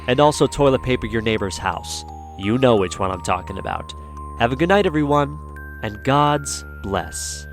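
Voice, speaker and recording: A man's voice: medium pitch, lively intonation, moderate pace, soft background music. A man narrating an audio drama in a theatrical, playful and slightly grandiose storytelling style.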